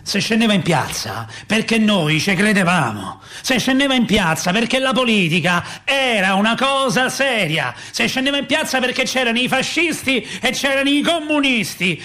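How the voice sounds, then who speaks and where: Wistful working-class father, high male voice, Roman accent, colloquial radio-theatre monologue, emphatic repetitions. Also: bright, forceful tone. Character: working-class Roman father recalling 1970s street politics, wistful, impassioned.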